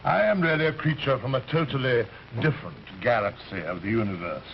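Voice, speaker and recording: A man's voice: mid-range voice, very animated, fairly quick. An actor in an old radio drama playing an urbane alien recruiter, polite and pompous, hesitating and rambling as he talks. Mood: genteel, rambling. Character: urbane, genteel alien recruiter posing as an Earthman. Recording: muffled, hissy old radio recording.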